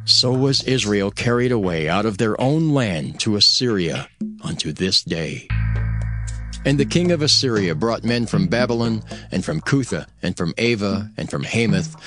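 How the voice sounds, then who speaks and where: A man narrating dramatized scripture in a solemn, declamatory storytelling manner. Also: lowish voice.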